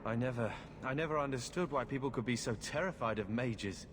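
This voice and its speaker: An actor playing a troubled, earnest warrior in a fantasy video game, brooding and defensive. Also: male voice, medium pitch, fairly quick, over music, lightly acted. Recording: hall reverb, noisy ambience.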